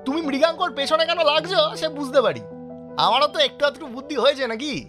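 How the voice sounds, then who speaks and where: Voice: sits high, brisk, a bit bright. An actor playing a loyal, sulky younger sidekick in an audio drama, earnest, wordy and dramatic. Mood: sulky, reproachful. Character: loyal, sulky younger sidekick, protective of elder brother figure. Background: music.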